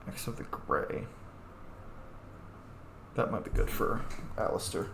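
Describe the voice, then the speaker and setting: A man hosting a gameplay video with casual, informal commentary, muttering to himself as he goes. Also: slow pace. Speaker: gaming video host. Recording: roomy echo, faint background noise.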